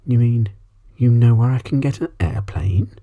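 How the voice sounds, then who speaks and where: A man voicing an eager, kind little boy in a storybook, speaking softly and breathily with earnest wonder. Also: medium pitch, moderate pace, dull audio, voice pushed up, close to an everyday voice. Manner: earnest, wistful. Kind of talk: ASMR storybook reading.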